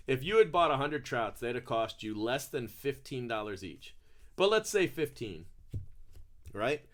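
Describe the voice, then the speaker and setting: Chatty man with lively pitch. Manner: chatty, laughs often, calls out player names. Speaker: card break host. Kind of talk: casual card-break commentary.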